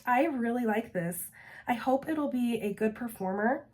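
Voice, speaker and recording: A woman with a fairly high voice talks through a casual review, enthusiastic and unhurried. Her voice still sounds slightly off as she recovers from losing it. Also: lively intonation, a little mellow. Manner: chatty, enthusiastic, describes scents slowly. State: recovering voice after illness. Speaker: vlogger reviewing scented wax.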